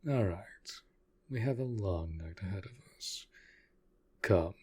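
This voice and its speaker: A man playing a courtly, protective vampire lord in a roleplay audio drama, speaking softly and formally in measured, deliberate phrases. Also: deep voice, animated, breathy edge, heavily acted. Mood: courteous, guiding. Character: courtly, protective male vampire master of a manor.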